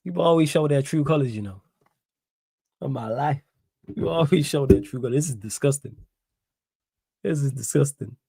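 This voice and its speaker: A man's voice: mid-range voice, moderate pace, creaky, pauses often, dark tone, slightly rough, dry recording. Indignant Mancunian man streaming live. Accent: Manchester. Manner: indignant, repeats phrases, reads chat aloud. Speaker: livestream host. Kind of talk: live stream chat reaction.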